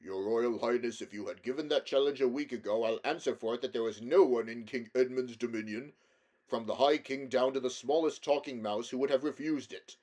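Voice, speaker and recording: A man voicing a bluff, hearty older king with a gruff, commanding way of speaking. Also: fairly quick, a little mellow, close to an everyday voice. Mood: stern. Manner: booming, commanding, old-fashioned formal speech.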